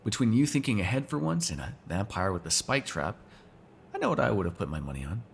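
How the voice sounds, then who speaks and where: A man's voice: on the low side, big pitch swings, fast talker, creaky, noisy background. A man playing a sardonic, teasing, foul-mouthed vampire in an ASMR roleplay, talking casually to one listener. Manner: casual, teasing, sarcastic, swears freely.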